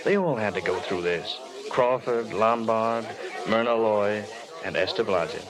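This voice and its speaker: A man's voice: lowish voice, animated, measured pace, low-quality recording. An actor playing a reassuring, encouraging man in a vintage radio drama. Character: reassuring, encouraging man coaxing a nervous newcomer. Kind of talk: 1930s-40s radio drama acting. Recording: vintage radio with busy crowd behind.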